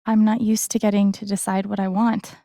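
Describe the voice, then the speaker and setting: A woman playing an earnest, wounded young woman in a naturalistic video game drama, speaking breathily with slow, deliberate, emotionally weighted phrasing. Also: mid-range voice, flat delivery, warm tone, dry recording, clean studio sound, lightly acted. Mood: tender, hurt. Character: earnest, wounded young woman confronting betrayal with quiet conviction.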